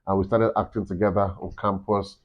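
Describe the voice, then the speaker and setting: A Nigerian man with a deep voice, a campus radio director chatting in an interview and telling stories with many "you know"s. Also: little pitch movement, brisk. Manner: rambling storytelling, frequent "you know". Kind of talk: casual interview chat. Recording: dull lo-fi sound.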